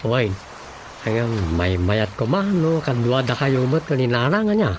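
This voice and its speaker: A man's voice: medium pitch, very animated, a little slow, noisy background. A man playing an eager, comic young fellow in an Ilocano radio drama, with an Ilocano accent. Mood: coaxing.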